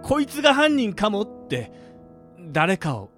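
A man playing a desperate, intense young death-game player in a Japanese radio drama, speaking roughly and with passion. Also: high-pitched, huge pitch swings, a little slow, full character voice. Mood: anguished. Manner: impassioned, rough masculine speech. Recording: studio drama with piano music behind.

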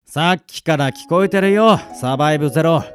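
A man playing a long-lived artificial caretaker in a radio drama, calm, devoted and casually philosophical. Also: a little high, brisk, close to an everyday voice. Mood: casual, chiding. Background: music.

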